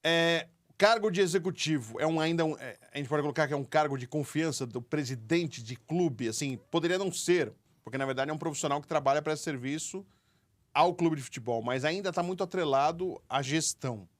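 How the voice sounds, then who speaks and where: Male sports interview host, brisk, raised pitch, keeps chiming in with quick acknowledgments.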